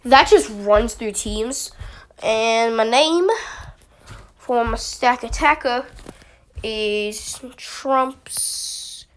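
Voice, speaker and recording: A woman's voice: a little high, extremely slow, pauses often, a bit bright. Sniffly young woman, chatty and rambling. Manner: chatty, rambling, with many fillers. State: sniffly with a summer cold. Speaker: YouTuber analyzing a draft league team. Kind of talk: casual commentary.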